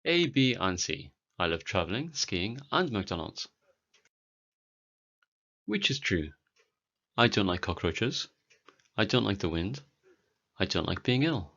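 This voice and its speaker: Slow, animated British teacher. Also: male voice, on the low side, highs cut off. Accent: British English. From Britain. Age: 40s. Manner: clear, deliberate delivery for learners. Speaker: English teacher. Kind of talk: slow beginner English lesson with repetition.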